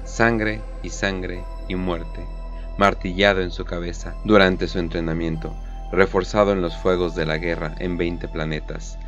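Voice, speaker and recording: A man narrating a dramatic war story as a scripted audio drama, solemn and deliberate. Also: sits low, level tone, fairly bright tone, dull audio, faint music behind.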